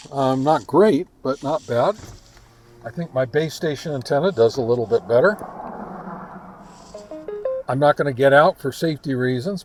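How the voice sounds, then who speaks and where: A man giving a casual, informal product review and field test, talking in a halting, conversational way. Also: fairly high pitch. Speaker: ham radio reviewer and vlog host.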